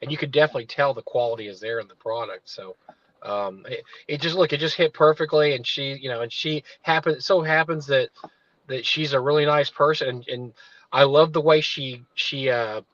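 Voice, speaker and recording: A man's voice: mid-range voice, fairly quick, a bit bright, no room sound, muffled recording. A man co-hosting a casual livestream chat from inside his parked car. He rambles and digresses, restarting his sentences often. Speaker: livestream co-host.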